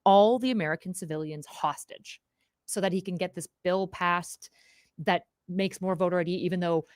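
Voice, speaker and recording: A woman from Canada, a political commentator guest, speaks earnestly in casual chat, often saying "like." Her voice sits a little low for a woman. The sound is dry and close. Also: normal speed, no echo. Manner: earnest, lively, says "like" often.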